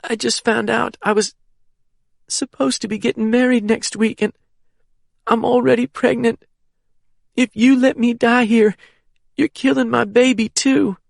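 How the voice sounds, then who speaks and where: A man voicing a wounded young woman who is in pain, pleading and shouting in a strained, high voice. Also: fairly even intonation, normal speed, no echo. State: sobbing, in pain.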